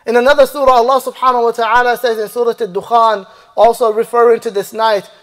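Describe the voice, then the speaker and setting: Man, imam, Friday sermon, measured preaching, high voice, long mosque reverb. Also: little pitch movement. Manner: measured preaching, recites Arabic verses rapidly then translates slowly. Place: mosque prayer hall, indoors. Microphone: stand mic and lapel mic.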